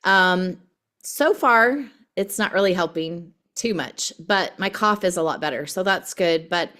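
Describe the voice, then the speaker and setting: A woman with a hoarse, worn voice hosts a video call. Her pitch sits in the middle of the range. She talks in a chatty, easygoing way and fills her sentences with ums. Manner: chatty and warm, many ums. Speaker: group leader hosting a video call. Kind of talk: casual announcements on a video call.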